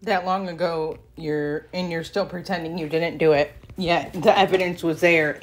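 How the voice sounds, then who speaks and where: A sardonic woman with a low voice gives dry, skeptical asides as a video commentator. Faint noise sits behind her speech. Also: steady tone, moderate pace. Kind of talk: dry reaction commentary over clips.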